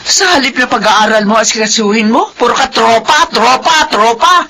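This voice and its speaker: A woman's voice: a little high, normal speed, sharp tone, a little hiss or hum. An actress playing a strict, worried mother who scolds her children in a classic radio drama. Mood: scolding. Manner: scolding, exasperated.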